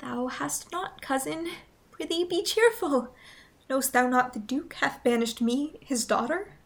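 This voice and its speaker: A woman playing a spirited, loyal young noblewoman in a Shakespearean radio play, earnest and expressive. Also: high-pitched, a little slow, vocal fry. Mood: tender, resolute.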